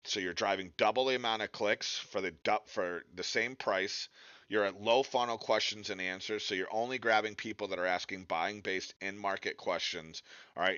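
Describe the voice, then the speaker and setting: A man with a low voice and a forceful, bright edge teaches an informal webinar. He speaks directly and conversationally, often tacking on quick check-in questions. The sound is dry and slightly muffled. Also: no echo. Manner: conversational, direct, peppers points with tag questions. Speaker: webinar presenter, digital marketing instructor. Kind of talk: informal instructional webinar presentation.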